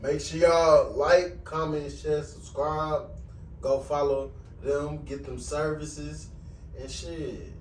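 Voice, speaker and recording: A man's voice: on the high side, flat delivery, slow, darkish tone, slight background noise. A man hosting a podcast, laid-back and slangy in casual profane chat. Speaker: podcast host. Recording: echoey room, mic at a distance.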